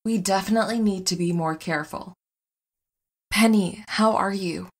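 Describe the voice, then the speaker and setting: A woman's voice: mid-range voice, moderate pace, breathy edge. A woman playing a sensible, caring superheroine wife with a practical, conversational manner in a comedy audio drama. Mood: concerned.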